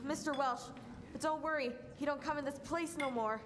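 Very high, agitated protective friend woman acting. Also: normal speed, natural voice. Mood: anxious, pleading. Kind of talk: stage radio play acting. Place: school auditorium stage. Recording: echoey hall, noisy room.

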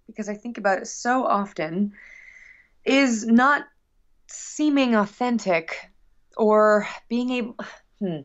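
A woman speaking earnestly and reflectively as a musician being interviewed, in casual conversation. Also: mid-range voice. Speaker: guest musician. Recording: dull, lo-fi sound.

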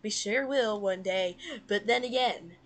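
A woman's voice: mid-range voice, unhurried. A young woman improvising a comedic cartoon fan dub in a giggly amateur way, often slipping out of character. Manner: giggly, swearing, breaks out of character.

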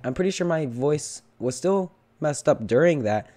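A young man with a hoarse, cracking voice from illness, chatting casually as he opens loot boxes and pleads for better luck. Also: a little high, expressive, moderate pace, warm tone. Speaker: gaming channel host. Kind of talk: casual game commentary.

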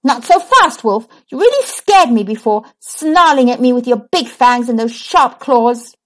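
A woman voicing a brave, spirited little girl who plays superhero in a children's storybook, with expressive, declarative delivery. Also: a little high, a little slow. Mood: assertive. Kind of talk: children's storybook read-aloud.

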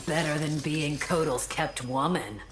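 Low, icy, haughty fighter. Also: female voice, measured pace, long reverb tail. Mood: contemptuous. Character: icy, haughty, contemptuous female cyborg fighter. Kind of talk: video game character dialogue.

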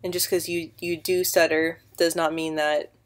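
A woman's voice: low-pitched, little pitch movement, moderate pace. A woman in her twenties vlogging casually to camera on a webcam mic from her sofa at home, stuttering often on sounds and words, earnest and encouraging. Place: living room, seated on a sofa indoors. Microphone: built-in webcam mic.

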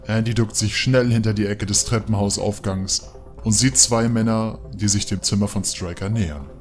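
A man's voice: lowish voice, steady tone, normal speed, soft background music. A man narrating a scripted audio drama as its storyteller.

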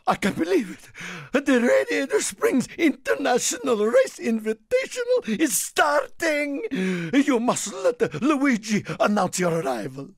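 A man voicing a small, excitable, proud Italian car in a video game, with an Italian accent and theatrical broken English. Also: very high-pitched, measured pace, dry recording, studio quality, full character voice. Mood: excited, proud. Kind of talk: animated video game character lines.

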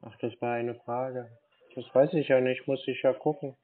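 Unhurried man on a tinny phone line. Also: medium pitch, little pitch movement. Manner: slow, unhurried, playing dumb. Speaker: scam baiter. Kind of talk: phone call.

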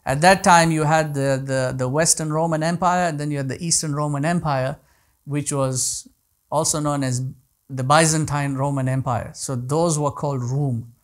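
A man in his thirties, a native Kutchi speaker, teaches a class, his fairly high voice working through each point with frequent restarts and repeated words. He sounds close to a lapel mic in a small indoor room. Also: fairly even intonation, unhurried. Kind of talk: online class lecture answering questions.

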